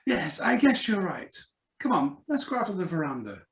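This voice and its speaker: In an echoey room, a man acts out a suave blackmailer from a radio play. He swoops up and down in pitch, drawing out his courtesies with a sneer. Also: big pitch swings, normal speed, creaky, exaggerated voice. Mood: smug, menacing. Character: suave, sneering male blackmailer, mockingly polite. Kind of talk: live radio drama reading.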